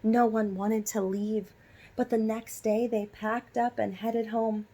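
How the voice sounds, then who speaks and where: Husky, creaky-voiced woman teacher reading aloud. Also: a little high, flat delivery, slow, mellow. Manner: instructive, prompting listeners with questions. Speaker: school teacher reading a children's book aloud. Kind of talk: read-aloud storytelling with questions to children.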